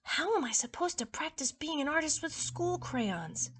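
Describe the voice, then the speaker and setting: A woman's voice: sits high, very animated, moderate pace, a bit bright, voice pushed up. A woman voicing an earnest, stubborn first grade boy from a storybook, insistent and indignant.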